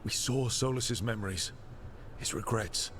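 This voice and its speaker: Low-voiced, earnest game hero. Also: male voice, measured pace, fairly bright tone, a little hiss or hum. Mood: calm, earnest. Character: calm, earnest heroic adventurer, polite leader. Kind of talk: video game dialogue acting.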